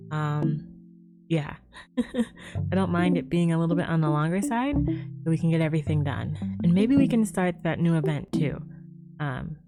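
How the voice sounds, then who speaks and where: A woman's voice: sits low, animated, moderate pace, loud music behind the voice. A woman livestreamer chatting casually and a little hesitantly to viewers in an easygoing way. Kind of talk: casual gaming stream chat.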